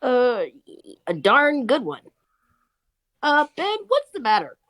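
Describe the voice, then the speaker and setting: A kindly old grandpa acted by a woman at a table read talks earnestly with lots of pitch movement. It is an avuncular cartoon role, recorded dry and close. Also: wildly swooping pitch, slow pace, a bit bright, dry recording, heavily acted.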